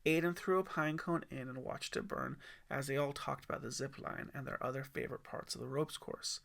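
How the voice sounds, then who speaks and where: Gravelly, bright male storyteller. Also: medium pitch, fairly quick. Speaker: author and audiobook narrator. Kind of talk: expressive scripted story narration.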